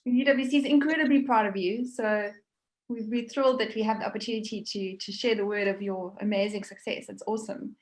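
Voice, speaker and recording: A woman's voice: mid-range voice, fairly even intonation, a little fast, reverberant, rough recording. A woman interviewing a graduate over a video call, friendly and encouraging in a casual way. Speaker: interviewer from the university.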